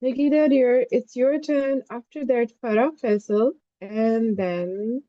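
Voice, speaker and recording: A woman teaching an online English class, giving students warm feedback and calling them dear. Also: on the high side, fairly even intonation, slow pace, no room sound, highs cut off. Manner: encouraging, affectionate, calls students "dear". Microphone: online call.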